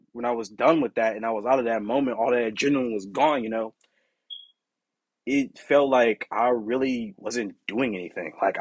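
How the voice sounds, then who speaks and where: A young man with a deep, raspy voice hosting his own podcast, talking in a candid, rambling way with lots of "you know" and "like". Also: no echo. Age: young adult. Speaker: podcast host. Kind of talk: casual solo podcast monologue.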